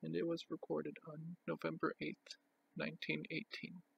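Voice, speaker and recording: A man presenting items from a collection in an unscripted show-and-tell, with a plain, halting, itemising delivery. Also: fairly high pitch, monotone, vocal fry, breathy edge, muffled recording.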